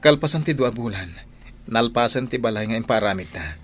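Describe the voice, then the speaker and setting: A man's voice: mid-range voice, expressive, a little fast, faint music behind, lightly acted. A man playing an earnest, humble, devoted husband in an Ilocano radio drama, speaking with an Ilocano accent. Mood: happy, respectful.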